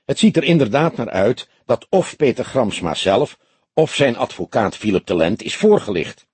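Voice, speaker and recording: A calm old male police detective as a male audiobook reader plays him. He is skeptical but placating, weighing things in a measured way. The voice is mid-pitched and very raspy, in a dry recording. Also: no echo. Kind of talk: audiobook character voice.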